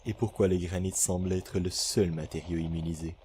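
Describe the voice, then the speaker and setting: A man reading a clinical report as scripted horror narration, in a formal, measured way. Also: low voice, steady tone, darkish tone, slight background noise.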